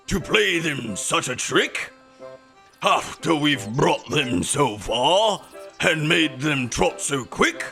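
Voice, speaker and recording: A man's voice: on the high side, sing-song, creaky, bright, forceful tone, faint music behind, pitched above the performer's own voice, exaggerated voice. A man putting on a pompous sentimental walrus. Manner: slow, theatrical, mock-sorrowful delivery.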